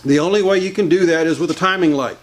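Older male mechanic, plain-spoken teacher with a slightly high voice, recorded in a boat shop. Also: fast talker. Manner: plain-spoken, many uh fillers, hands-on demonstrating. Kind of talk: workshop how-to explanation. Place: large boat workshop indoors. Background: idling boat engine.

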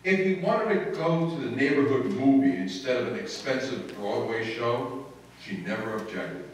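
A man's voice: mid-range voice, lively intonation, normal speed, close to an everyday voice. A man playing a long-suffering, sarcastic husband who narrates an old-time radio comedy on stage. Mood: wry storytelling. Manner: dry, sarcastic. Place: live stage in an indoor hall, distant camera. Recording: echoey noisy hall, far from microphone.

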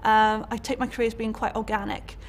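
A woman's voice: fairly high pitch, flat delivery, fairly quick, a little hiss or hum. Chatty Welsh woman, candid interview. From Wales. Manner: chatty, candid, many fillers and restarts. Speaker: college lecturer. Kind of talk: career interview. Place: indoor college room.

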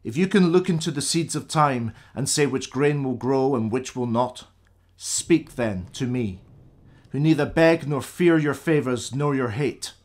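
A man's voice: medium pitch, a little slow, natural voice. A man playing a bold, questioning noble warrior in a classical radio drama. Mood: challenging.

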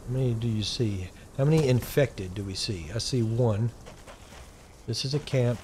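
A man with a Texan accent giving relaxed, rambling commentary and thinking aloud while he plays a survival game. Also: medium pitch, normal speed, slight background noise. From Texas. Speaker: gaming channel host. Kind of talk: casual gameplay commentary.

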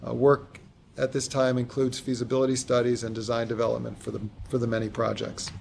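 An American man giving a formal, businesslike status report to a board, reading from notes a little haltingly. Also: mid-range voice, completely flat delivery, a little mellow. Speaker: construction executive reporting to a board. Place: large conference room with long table. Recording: clear background noise and clicks.

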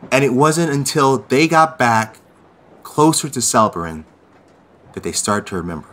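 A man playing an anxious, earnest teenage boy in a fantasy audio drama, speaking haltingly. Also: medium pitch, fairly bright tone, close to an everyday voice. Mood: earnest theorizing. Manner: hesitant, halting, stammering.

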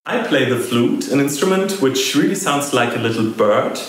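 A young man from Berlin, a flute student, introducing himself from his small flat. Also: mid-range voice, moderate pace. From Berlin, Germany. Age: young adult. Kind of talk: self-introduction to camera. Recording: very echoey room with faint noise.

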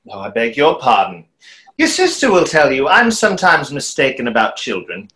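A man's voice: medium pitch, huge pitch swings, normal speed, sharp tone. A man in his thirties in a play reading, playing a suave conjurer with a dry, politely mocking manner. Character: suave, wry stage conjurer trading polite barbs. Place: small room, video call.

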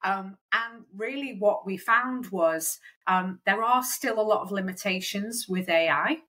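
A woman talks in a relaxed, chatty way, filling her sentences with "kind of" and "um". Her voice has a bright, forward tone. She sits at a middle pitch for a woman. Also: unhurried. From Wales. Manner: chatty, lots of fillers like "kind of" and "um". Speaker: recruitment company founder, guest. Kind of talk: conversational interview.

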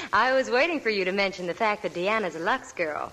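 A woman reads scripted radio ad copy in 1940s style as a Hollywood reporter. Her mid-pitched voice moves in lively swoops. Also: a little fast, poor audio. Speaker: Hollywood reporter in a soap advertisement.

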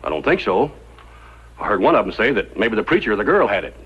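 An actor playing a wary, gruff frontiersman in a 1950s radio western, with a folksy, excitable manner. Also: on the low side, fast talker, full character voice. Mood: curious. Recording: muffled old radio recording.

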